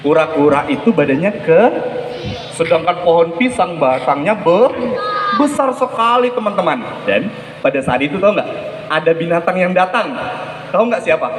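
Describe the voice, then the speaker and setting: A woman telling an animated interactive story to children, asking questions and drawing out key words. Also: on the low side, normal speed. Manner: asks listeners questions, draws out key words. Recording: echoey hall, faint background noise.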